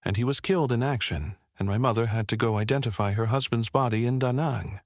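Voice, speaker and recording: A man's voice: medium pitch, brisk, dry recording, clean studio sound. A man in his fifties from Vietnam, whose first language is French, giving an explanatory oral history interview peppered with "right?" check-ins. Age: 50s. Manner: explanatory, often checks with "right?" and "you know".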